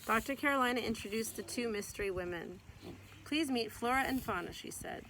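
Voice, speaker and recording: A woman reading a children's picture book aloud in an expressive storytelling way, stretching out some words. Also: fairly high pitch, fairly quick, slight background noise, poor audio.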